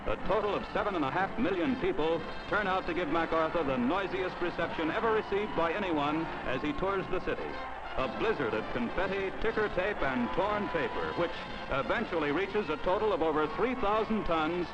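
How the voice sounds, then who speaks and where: High-pitched male 1950s newsreel narrator. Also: animated, brisk. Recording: dull old newsreel film soundtrack. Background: music.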